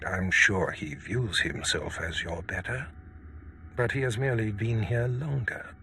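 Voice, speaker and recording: Sith lord villain for a game: cruel, commanding, biting, fairly low, slow menace, some background noise. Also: male voice, sharp tone. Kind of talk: video game villain acting.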